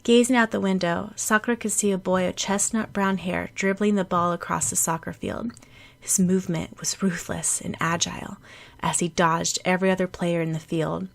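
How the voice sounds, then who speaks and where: A woman's voice: on the low side, steady tone, moderate pace, vocal fry. A woman narrating a story expressively as a scripted audiobook.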